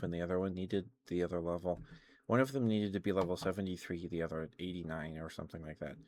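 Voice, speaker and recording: A man with a low-pitched voice chats casually in an ASMR livestream style, close to the microphone. He rambles along hesitantly, often pausing to rephrase or correct himself. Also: a little mellow, dry recording. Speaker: live streamer hosting an ASMR stream. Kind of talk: casual ASMR chat about video games.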